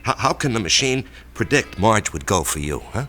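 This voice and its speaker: Radio drama, mild American married salesman, deep voice, self-doubting, hesitant delivery. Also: sing-song, pushed and bright. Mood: puzzled, doubting. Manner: hesitant, halting.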